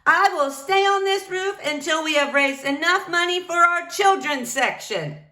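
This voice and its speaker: A woman's voice: very high voice, lively intonation, measured pace, pushed and bright, roomy sound, voice pushed up, heavily acted. A woman voicing a plucky, stubborn woman librarian from a children's storybook, speaking in emphatic declarations. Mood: resolute.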